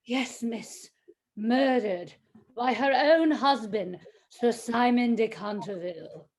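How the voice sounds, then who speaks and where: A woman's voice: on the high side, slow pace, darkish tone. A woman playing a superstitious, deferential housekeeper of a haunted manor in a radio play, with an ominous, formal way of speaking. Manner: ominous, dramatic, formal servant address.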